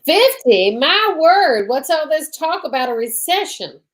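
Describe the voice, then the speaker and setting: A woman playing a florid, flattering bohemian friend in an old-time radio detective drama. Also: high voice, a little slow. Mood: effusive, airy. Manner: florid, airy.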